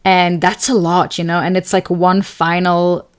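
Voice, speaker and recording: This is a young woman from Switzerland hosting her astrology show. She chats casually, wandering between topics and filling gaps with "like" and "you know". Her voice is a little raspy and tired after long hours of talking. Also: fairly low pitch, flat delivery, unhurried. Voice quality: slightly raspy. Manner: chatty, rambling, many fillers and laughs. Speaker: astrology podcast host. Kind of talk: casual solo talk and storytelling.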